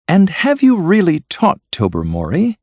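A man's voice: high-pitched, huge pitch swings, measured pace, a little mellow, dry recording, voice pushed up, heavily acted. A man voicing a sceptical society lady, polite and teasing, in a storybook reading. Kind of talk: storybook character voice.

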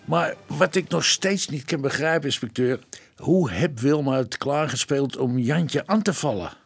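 A man with a Dutch accent playing a blunt, colloquial police detective puzzling over a case in a radio drama, with a gravelly voice. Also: on the high side, measured pace, soft background music, exaggerated voice. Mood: puzzled. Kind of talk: naturalistic radio drama acting.

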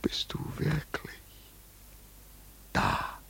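An actor playing a wealthy, grieving older father in a German radio play, brooding and yearning in a halting monologue. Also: bright, forceful tone, whispered. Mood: tender, mournful. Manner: slow, halting, brooding delivery with sudden swells.

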